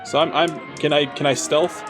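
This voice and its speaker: This is a man taking part in a tabletop game as a player. He talks casually through his moves in a slightly high voice, with faint music underneath. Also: steady tone, normal speed.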